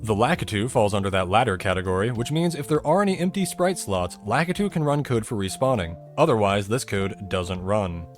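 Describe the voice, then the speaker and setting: A man's voice: lowish voice, lively intonation, fairly quick, hardly any pauses, soft background music. A man delivering scripted explainer narration as the host of a video game breakdown, methodical and dryly humorous.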